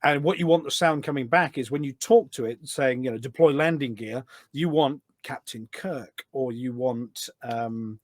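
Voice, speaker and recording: A man's voice: mid-range voice, normal speed, no echo, studio quality. A British man telling anecdotes in a casual, enthusiastic, rambling way as a podcast guest. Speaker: podcast guest, voice pack developer. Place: small studio.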